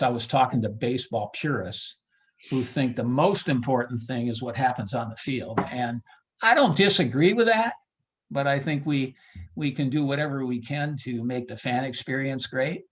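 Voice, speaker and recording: A man with a medium-pitched voice speaks as an interview guest about his work as a ballpark announcer. His delivery is casual and halting, as he thinks out loud. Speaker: baseball PA announcer being interviewed.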